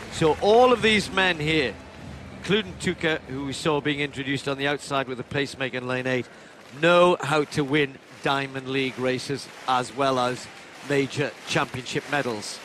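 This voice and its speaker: Guy calling a track race, high voice, loud crowd noise behind. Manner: builds to excited shouting during the race. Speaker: play-by-play commentator. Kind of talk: live athletics commentary. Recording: broadcast commentary over loud stadium noise.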